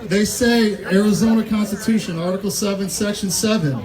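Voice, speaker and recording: Man shouting over a cheering crowd. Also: sits high, normal speed. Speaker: rally speaker. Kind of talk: rally speech to a crowd.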